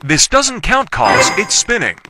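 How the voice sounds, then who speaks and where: A man's voice: high voice, huge pitch swings, a little slow, very noisy. A man giving casual gameplay commentary in short, repetitive declarations. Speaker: gaming video creator.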